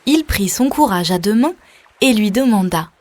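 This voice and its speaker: A woman's voice: medium pitch, expressive, normal speed, a little mellow, no echo. A woman reading a scripted children's story as a storyteller, putting questions to the young listener. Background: music.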